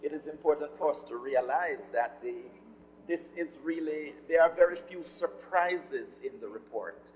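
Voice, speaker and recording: A man from Jamaica with a Jamaican accent, speaking as a panelist in measured conference remarks with frequent "um" fillers. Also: a little high. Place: conference hall. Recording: hall reverb, faint room noise.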